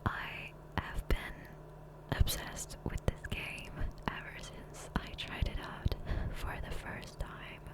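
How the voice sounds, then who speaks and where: A woman speaking in a soft, breathy whisper, rambling casually and unhurriedly in an ASMR gameplay voiceover on a close microphone. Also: takes it slowly, low-quality recording. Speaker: ASMR creator. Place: voiceover recorded separately from gameplay. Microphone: Blue Yeti USB microphone, close.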